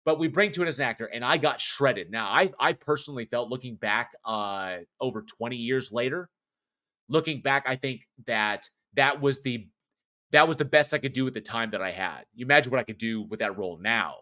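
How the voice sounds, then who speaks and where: A man's voice: mid-range voice, a little fast, sharp tone. A man in his forties, a podcast co-host, talking passionately about a horror film in casual review chat, rambling with restarts and the odd curse. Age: 40s.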